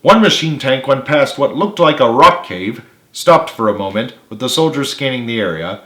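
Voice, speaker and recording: A man's voice: medium pitch, animated, normal speed, pushed and bright. A man reading a story aloud as a dramatic narrator in an echoey room. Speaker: author reading own book.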